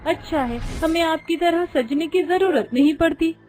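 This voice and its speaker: Lady doing a polite dramatic young bride, really high voice, formal wording. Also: moderate pace, a little mellow, faint music behind. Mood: exasperated. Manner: courteous formal Urdu-flavoured wording.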